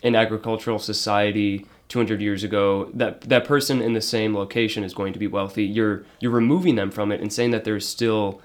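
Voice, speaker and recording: A man with a fairly low voice conducts an interview. He sums up findings and follows them with probing questions. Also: steady tone, fairly quick, few pauses.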